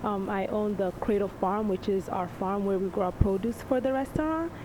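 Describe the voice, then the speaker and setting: A woman's voice: medium pitch, moderate pace. A young woman from Congo chatting casually as a panel guest, loose and full of fillers. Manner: relaxed, chatty, lots of likes and ums. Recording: noisy room.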